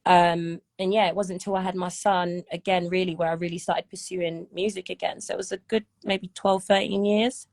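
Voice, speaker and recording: A woman's voice: lowish voice, little pitch movement, moderate pace, creaky, few pauses, darkish tone. A woman in her thirties, a singer, chatting casually in an interview and often checking "do you know what I mean?". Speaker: singer and guest.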